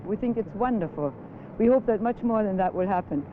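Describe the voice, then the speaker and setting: A woman's voice: mid-range voice, expressive, brisk. Lady on the street gushing, lots of uhs, thin old film sound, some traffic. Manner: enthusiastic, searching for words. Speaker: local resident interviewed. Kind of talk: street interview. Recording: tinny old film audio with street noise.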